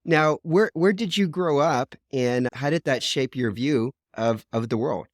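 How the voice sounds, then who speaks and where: A man's voice: medium pitch, measured pace, dry recording, studio quality. A man hosting a podcast interview, upbeat and chatty, laughing often. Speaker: podcast host. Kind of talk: casual interview chat.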